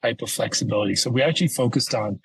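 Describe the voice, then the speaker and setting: A man from Ethiopia, a company founder, answering interview questions in a measured, explanatory way. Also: medium pitch, creaky, a bit bright, husky, rough recording. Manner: measured, explanatory, uses "you know" and "right?". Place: home office in Ethiopia, remote call.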